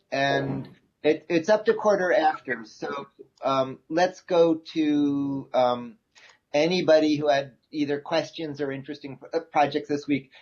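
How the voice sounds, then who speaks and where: A man's voice: fairly high pitch, a little mellow. A man instructing an online class informally over a video call, thinking aloud in a hesitant way. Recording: dull, lo-fi video call audio.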